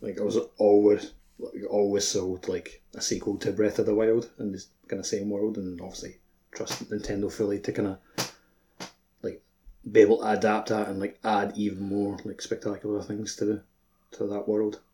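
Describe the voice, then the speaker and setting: A man's voice: on the low side, level tone, normal speed, mellow. A man co-hosting a podcast, chatting casually in loose, filler-heavy phrasing. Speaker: podcast co-host. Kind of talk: casual chat. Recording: faint background noise.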